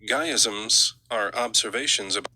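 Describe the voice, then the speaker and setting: A man's voice: fairly low pitch, measured pace, bright, forceful tone, breathy edge, no room sound, rough recording. A man reading scripted audiobook narration.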